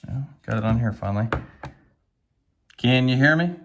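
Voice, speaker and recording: A man's voice: medium pitch, lively intonation, moderate pace, a little hiss or hum. A man giving a casual hands-on tutorial, thinking aloud in a tentative way as he demonstrates a device. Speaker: product reviewer demonstrating a device.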